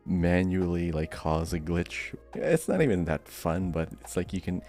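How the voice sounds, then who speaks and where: A man's voice: low voice, very animated, a little slow, darkish tone, faint music behind. A man co-hosting a podcast, chatting casually in a laid-back way. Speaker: podcast co-host. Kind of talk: casual chat.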